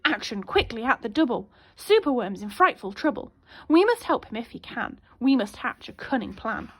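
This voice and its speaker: Woman as excitable little garden bugs, high-pitched. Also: normal speed. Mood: urgent, rallying. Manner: urgent, exclamatory.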